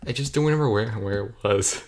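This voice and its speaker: A man's voice: fairly low pitch, fast talker, a little mellow. A young man rambling to himself as he plays, his voice cracking and a bit off. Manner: rambling, self-correcting, talks to himself. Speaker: gamer streaming a play session. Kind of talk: casual thinking-aloud gameplay commentary.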